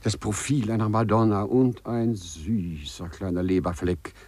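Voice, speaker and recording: An actor playing a courtly, lovestruck gentleman burglar in a 1950s German radio play, speaking with florid, formal politeness and a husky voice. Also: on the low side, steady tone, unhurried. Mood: dreamy, enamoured. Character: courtly, infatuated male gentleman burglar who walks through walls.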